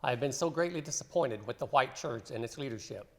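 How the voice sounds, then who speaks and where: A man's voice: mid-range voice, lively intonation, quick pace. A man, a church member, reading quotations aloud in a solemn, scripted way. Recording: long hall reverb.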